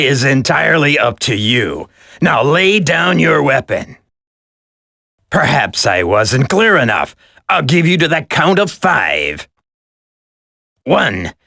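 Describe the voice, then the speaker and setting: A smug, sadistic male terrorist villain from a video game. He taunts slowly, with theatrical swoops of pitch and a cold, mocking drawl. The voice is sharp and gravelly. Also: sing-song, no echo, clean studio sound, heavily acted. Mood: smug threat.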